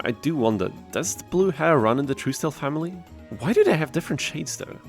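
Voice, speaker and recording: A man narrating gameplay from a script as a video game YouTuber, in a wry, sarcastic manner. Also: medium pitch. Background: music.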